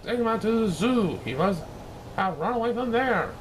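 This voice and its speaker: Man acting a stern, emphatic professor, pitched up. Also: lively intonation, normal speed, a little hiss or hum. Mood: angry, scolding. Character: stern, excitable male professor and museum director.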